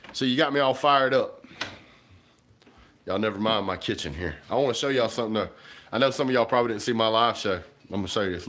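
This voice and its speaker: A man, chatting casually to viewers on a livestream while stuffy and hoarse from a cold. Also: lowish voice, fairly bright tone, slight background noise. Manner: rambling, folksy, talks to viewers directly. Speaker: livestream host. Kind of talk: casual livestream chat about football. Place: home kitchen.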